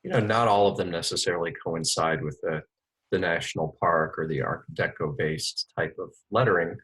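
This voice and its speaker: Deep husky guy, lettering artist, talks slow and thoughtful. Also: a bit bright, poor audio. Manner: measured and articulate, with occasional fillers. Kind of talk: casual interview answers.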